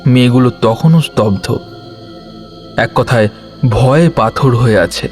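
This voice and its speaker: A male narrator with a mid-pitched voice tells a scripted horror tale. His phrasing is dramatic and measured, broken by pauses, with faint music underneath. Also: a little mellow.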